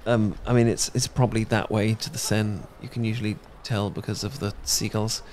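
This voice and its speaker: Shy british guy, lowish voice, stumbling over his words, faint city street noise behind. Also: flat delivery, a little mellow. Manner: shy and halting, many fillers and restarts. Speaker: ASMR audio roleplay performer. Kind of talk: improvised romantic audio roleplay.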